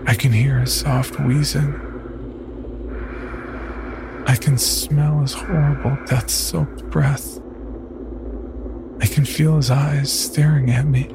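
Creaky-voiced actor as a haunted man, monotone. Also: mid-range voice, noisy background. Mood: tense, fearful. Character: haunted, disfigured young man recording a final warning. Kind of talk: first-person horror story narration.

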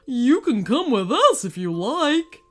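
A woman puts on a big, nervous, kindly male monster for a storybook. The delivery is slow, hesitant and bashful, rising and falling in pitch over faint music. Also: big pitch swings, a bit bright, full character voice. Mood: kindly, welcoming. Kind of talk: children's storybook character voice.

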